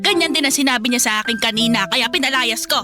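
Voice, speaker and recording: A woman's voice: a little high, quick pace, over music, close to an everyday voice. A woman playing a hot-tempered, money-minded mother in a radio drama, scolding in an exasperated, emphatic way. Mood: scheming, insistent.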